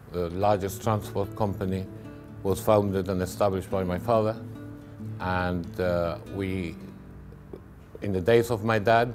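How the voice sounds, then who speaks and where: A middle-aged man recalling his family business in a documentary interview, halting and repeating words as he goes. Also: fairly low pitch, darkish tone.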